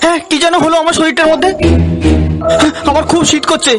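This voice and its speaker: Squeaky, hurried, bewildered cartoon character. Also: male voice, flat delivery. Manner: exclamatory self-narrating. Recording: loud music behind the voice.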